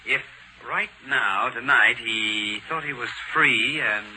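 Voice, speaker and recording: An actor playing a confident, methodical gentleman detective with an American accent, in 1940s radio style. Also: medium pitch, slow pace, lightly acted. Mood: confident, intent. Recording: noisy 1940s radio recording.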